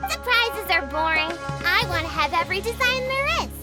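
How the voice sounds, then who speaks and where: A woman's voice: very high voice, lively intonation, normal speed. A woman voicing a stubborn, pouty child in a video game, insistent and huffy. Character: stubborn, pouty child who loves collecting carvings. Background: music.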